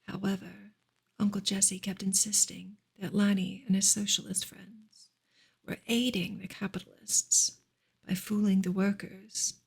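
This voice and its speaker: A woman gives a soft ASMR reading of a book, breathy and often close to a whisper, in a gentle, measured way. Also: mid-range voice, flat delivery. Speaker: streamer reading a novel aloud.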